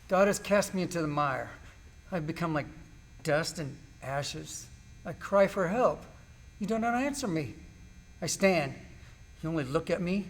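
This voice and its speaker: A man performs a suffering, righteous man from a Bible drama. His voice sits fairly high for a male, and he declaims his grievance and innocence in solemn, measured phrases. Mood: anguished lament. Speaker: amateur actor in a church class drama. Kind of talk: dramatized scripture recitation.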